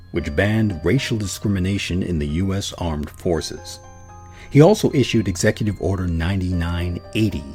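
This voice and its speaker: A man with an American accent narrates a scripted documentary. His voice is very low and warm in tone. Quiet music plays beneath him.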